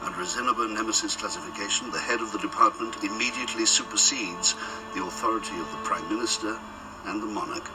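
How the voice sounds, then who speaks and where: A grave, commanding secret department chief in a radio drama, played by a male actor. He speaks formally and with measured authority in a fairly low voice. He sits a little off the mic with music underneath. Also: recorded from a distance, close to an everyday voice, rough recording. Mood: commanding, ominous.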